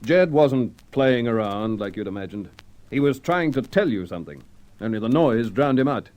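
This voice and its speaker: An actor playing a shrewd police inspector in an old radio crime drama, brisk, probing and authoritative. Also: male voice, medium pitch, darkish tone, natural voice, poor audio. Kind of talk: 1950s Australian radio crime drama acting.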